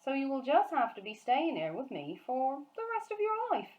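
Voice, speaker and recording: A woman voicing a big friendly giant from a storybook, excitable and kindly, speaking emphatically in garbled grammar and nonsense words. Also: high-pitched, moderate pace, dull audio, pitched above the performer's own voice. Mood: agitated, warning.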